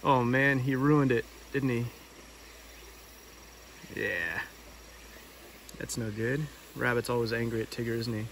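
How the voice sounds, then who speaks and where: A man's voice: medium pitch, unhurried, long pauses, a bit bright, a little hiss or hum. A man hosting a read-aloud outdoors, narrating a storybook with casual asides. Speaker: storybook reader and video host. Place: outdoors by a river.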